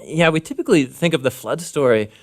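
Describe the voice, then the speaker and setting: A man's voice: a little high, expressive, brisk. A man co-hosting a Bible lesson, explaining things informally in conversational explainer narration. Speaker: Bible teacher, co-host.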